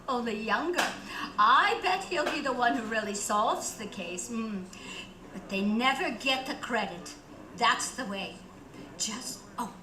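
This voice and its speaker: A woman playing a nosy, fussy neighbor landlady in radio theater, chattering excitably and easily offended. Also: on the high side. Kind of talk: radio theater comedy acting. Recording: echoey room.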